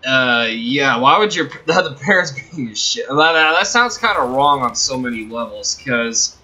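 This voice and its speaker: A man's voice: mid-range voice, expressive, moderate pace, bright, forceful tone. A man hosting a gaming channel, chatting and commenting casually. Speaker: host of a gaming video channel.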